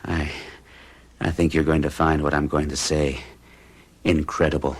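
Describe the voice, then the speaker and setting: A man's voice: extremely low pitch, level tone, moderate pace, breathy edge. An actor playing a troubled young man recounting an unsettling romance to his psychiatrist in an earnest, hesitant way. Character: troubled young American man, hero in love and afraid. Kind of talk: 1970s radio drama acting.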